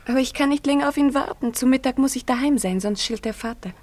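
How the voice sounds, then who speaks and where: A woman's voice: high-pitched, quick pace, a little mellow. An actress playing a very young peasant girl in a German radio play, anxious and faithful, speaking earnestly and shyly. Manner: earnest, shy, wistful.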